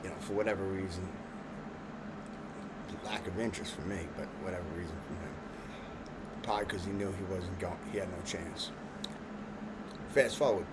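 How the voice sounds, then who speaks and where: A low-voiced man with a Long Island accent spins a loose, rambling story in street slang, peppered with "you know" and stumbles. Traffic and vehicle noise hum clearly behind him. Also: fairly even intonation, normal speed, long pauses. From Long Island, New York. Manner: streetwise slang, filler-heavy, restarts, laughs at his own jokes. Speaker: vlogger telling a personal story.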